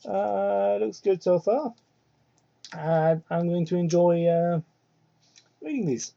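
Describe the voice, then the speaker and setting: A man's voice: takes it slowly, gravelly, mellow. A man doing a mocking dramatic read-aloud with sarcastic, incredulous asides and theatrical emphasis, recorded on a cheap microphone. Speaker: host doing a dramatic reading of fan fiction. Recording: muffled, low-quality cheap microphone.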